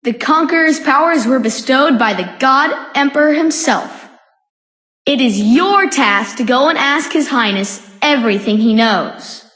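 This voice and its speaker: A woman playing a brisk, teasing, authoritative fantasy dignitary in a video game. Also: high voice, animated, fairly bright tone. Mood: commanding. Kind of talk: dramatic video game voice acting. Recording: echoey hall reverb.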